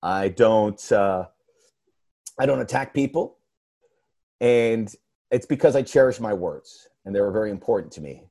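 A man's voice: lowish voice, expressive, a little slow, long pauses, warm tone. A man hosting a sports podcast, talking in an earnest, emphatic way and often restarting his phrases. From United States. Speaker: podcast host. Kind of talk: casual podcast talk.

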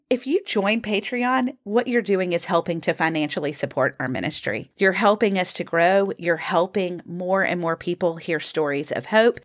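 A woman hosting a podcast, making upbeat announcements and thanking supporters. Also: fairly low pitch. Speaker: podcast host. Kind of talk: announcements and appeal.

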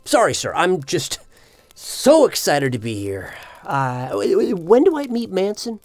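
A man's voice: sits high, huge pitch swings, measured pace, darkish tone, exaggerated voice. A man playing an eager, smarmy financial swindler in hell, fawning and oblivious, in a comedic audio drama.

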